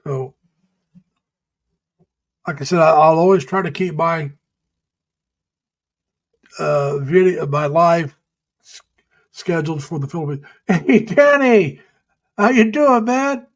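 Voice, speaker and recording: Brief: middle-aged man, slightly high voice, folksy and rambling, laughs a lot, halting uhs, chatting to viewers on a home stream. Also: lively intonation. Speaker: live stream host. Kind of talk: casual chat reading viewer comments. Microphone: home webcam or computer mic.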